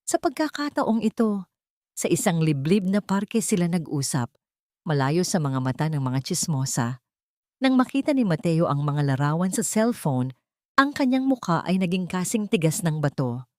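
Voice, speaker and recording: Low-pitched woman narrating a dramatic story. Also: big pitch swings, normal speed, no room sound, clean studio sound.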